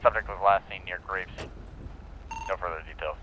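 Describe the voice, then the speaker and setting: A man's voice: low-pitched, steady tone, fairly quick, sharp tone, a little hiss or hum. A man role-playing a police officer making radio calls in an online game, over a muffled voice-chat line.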